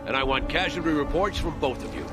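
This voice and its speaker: An actor playing a stern, determined army colonel who gives curt military orders in a game cutscene. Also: male voice, a little high, quick pace, close to an everyday voice. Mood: satisfied. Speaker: army colonel commanding officer. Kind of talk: video game cutscene acting. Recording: game soundtrack music behind.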